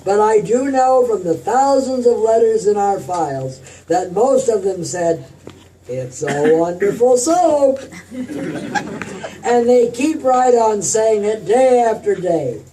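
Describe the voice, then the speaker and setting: Slow, mellow 1940s radio host acted by a man. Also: high-pitched, expressive, far from the mic, a little hiss or hum, rough recording. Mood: polished, measured. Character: polished 1940s radio producer hosting a drama broadcast.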